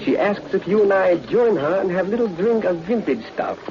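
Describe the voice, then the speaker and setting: An actor playing a chubby, genial restaurant owner in an old radio drama, speaking effusive broken English. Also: male voice, high-pitched, animated, normal speed. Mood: jovial, coaxing. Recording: dull lo-fi vintage radio recording.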